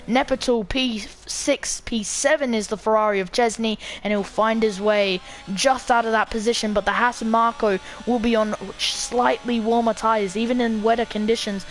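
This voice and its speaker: A woman commentating live on a sim race, her voice slightly croaky from being sick. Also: a little high, slight background noise, rough recording.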